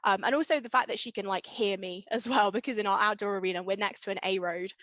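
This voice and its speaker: A young woman, a riding coach, talking in a casual interview with lots of fillers and a loud, enthusiastic voice. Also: medium pitch, fairly even intonation, fast, no echo. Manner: chatty, many fillers like um and kind of. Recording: dull, muffled sound.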